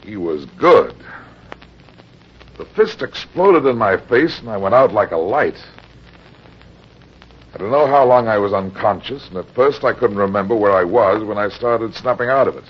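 An actor playing a tough, commanding, wry American private detective in an old-time radio drama, terse and slangy. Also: male voice, lowish voice, lively intonation, normal speed, long pauses, husky. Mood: wry narration. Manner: terse, hard-boiled, slangy. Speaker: detective, lead and narrator. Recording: muffled old radio broadcast recording.

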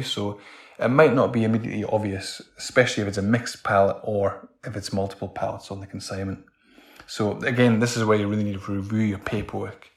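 A Scottish man from Scotland, a guest answering interview questions in a plain, measured way. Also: fairly low pitch. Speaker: guest, operations manager.

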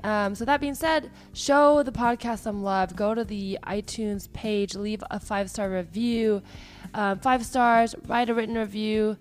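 A hoarse woman hosts a podcast, talking in a loose, upbeat way full of fillers. Her voice is worn and raspy after travel, and her pitch sits in an ordinary middle range. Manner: chatty and upbeat, many fillers. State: hoarse, partly lost voice. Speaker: podcast host. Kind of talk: casual podcast chat.

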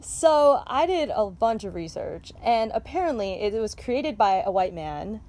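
A woman's voice: fairly high pitch, very animated, a little slow, a little mellow. A teenage girl from Chicago co-hosting a podcast, chatting casually with lots of giggles and "like". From Chicago, USA. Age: teens. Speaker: podcast co-host. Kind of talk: casual chat.

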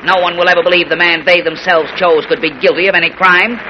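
Guy acting as arrogant crooked politician in old radio play, high sharp voice, blustery, bit of hiss. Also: flat delivery, quick pace, highs cut off, natural voice. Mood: smugly defiant. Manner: blustering, contemptuous. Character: defiant, crooked male politician, arrogant and scheming. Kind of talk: 1940s radio drama acting. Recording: hissy vintage radio recording.

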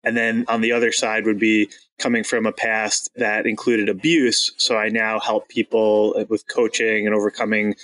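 A man's voice: lowish voice, little pitch movement, moderate pace, hardly any pauses, a bit bright, poor audio. A young man from Long Island speaks as a guest in an interview. He talks candidly and earnestly, and fillers slip in now and then. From Long Island, New York. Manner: earnest, open, with occasional laughs and fillers.

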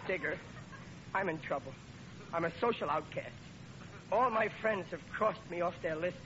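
An actor playing a hapless, blustering American working-class father in a 1940s radio comedy, with rough colloquial speech and a pleading manner. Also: sits high, moderate pace, close to an everyday voice. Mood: dejected. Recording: muffled, very noisy old radio broadcast.

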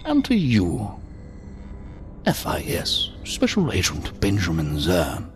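Theatrical, silky, pompous ambassador. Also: male voice, low voice, wildly swooping pitch, soft background music, heavily acted. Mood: commanding, formal. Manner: pompous, ornate, mockingly courteous, deliberate phrasing. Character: theatrical, silky, condescending ambassador of a secret power. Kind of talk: science fiction audio drama acting.

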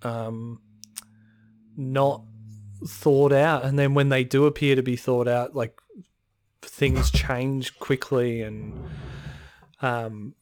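A man on a podcast vents his frustration in a casual, rambling way, sighing between thoughts. His voice sits at an ordinary pitch and is a touch hoarse, recorded close and dry with no room sound. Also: steady tone, takes it slowly, pauses often, darkish tone, dry recording. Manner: frustrated ranting with sighs. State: slightly hoarse. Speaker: podcast co-host. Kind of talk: casual chat.

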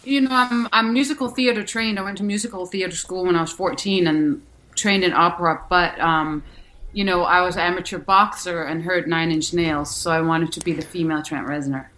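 A woman's voice: fairly low pitch, a little fast, a bit bright, rough recording. A woman from Denmark, an actress and musician, answering interview questions candidly with many restarts and fillers.

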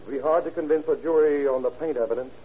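An actor playing a careful police lab chemist who explains deliberately with hesitant murmurs in an old-time radio drama. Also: male voice, fairly high pitch, natural voice. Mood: careful, explanatory. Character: careful, unhurried male police laboratory chemist. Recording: dull, noisy vintage radio recording.

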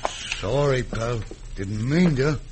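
An actor playing a tough, swaggering Old West outlaw in a radio drama, blunt, folksy and menacing. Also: male voice, mid-range voice, slow pace, lightly acted. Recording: faintly noisy old radio recording.

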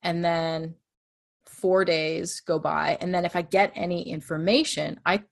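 Woman, low-registered voice, chatty, explains her podcast process step by step. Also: steady tone, unhurried, gravelly. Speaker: true crime podcast host. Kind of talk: casual conversation.

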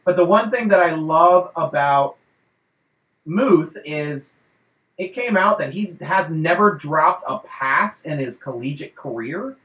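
A man hosts a relaxed fan talk show on a video call. He rambles through fillers and laughs between thoughts. His slightly high voice carries a roomy echo. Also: slow. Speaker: podcast host.

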